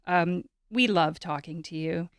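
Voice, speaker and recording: A woman hosting a conversational podcast, often pausing, repeating and correcting herself as she goes. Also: on the low side, smooth, dry recording. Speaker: podcast co-host.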